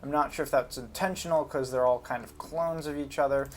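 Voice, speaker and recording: A man's voice: mid-range voice, steady tone, brisk, slight background noise. A man giving casual, unscripted commentary on a video game, talking informally and hesitantly as he thinks aloud. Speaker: gaming video host.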